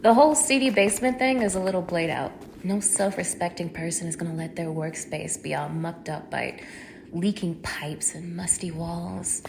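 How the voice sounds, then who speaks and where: A woman's voice: on the low side, normal speed, vocal fry, slight background noise. A woman acting in an intimate audio roleplay as a teasing, fake-friendly young neighbour with obsessive menace under her casual, coaxing talk. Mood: condescending, quietly menacing. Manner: casual, coaxing, teasing, with small laughs and hushed asides.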